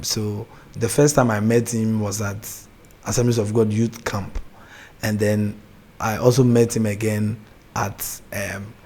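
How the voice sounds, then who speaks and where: Soft-spoken Ghanaian man, low voice. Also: husky. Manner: soft-spoken, laughs easily, hesitant restarts. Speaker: gospel artist guest. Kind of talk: casual interview chat.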